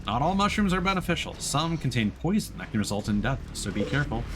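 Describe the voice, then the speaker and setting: A man streaming a video game, reading instructions aloud carefully and adding relaxed asides. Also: mid-range voice, fast talker, slight background noise. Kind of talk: let's play commentary, reading on-screen text aloud. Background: game music.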